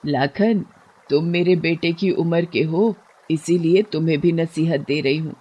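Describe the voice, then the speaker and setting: A woman's voice: sits low, mellow, husky, heavily acted. Mangala, a woman playing a steadfast old wife. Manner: caring, firm, advising. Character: poor aging fisherman's wife, steadfast and wise.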